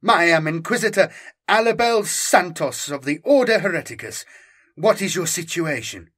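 A man's voice: fairly high pitch, lively intonation, normal speed, a bit bright, breathy edge, voice pushed up. A man reading an audiobook, voicing a cold, commanding female inquisitor with a cybernetic eye, her speech curt and imperious. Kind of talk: audiobook character voice.

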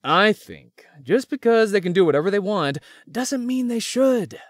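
An actor voicing a devoted, earnest young man in an anime-style romance game, speaking haltingly. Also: high-pitched, expressive, dry recording, studio quality. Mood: reflective, tender. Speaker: voice actor in a visual novel.

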